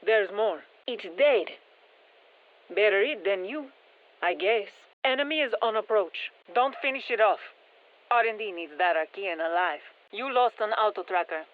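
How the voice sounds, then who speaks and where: Video game mission scientist, composed, matter-of-fact, wry, medium pitch, tinny radio filter. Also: female voice, moderate pace. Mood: focused, matter-of-fact. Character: composed female scientist-engineer guiding soldiers against alien parasites. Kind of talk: scripted game mission briefing.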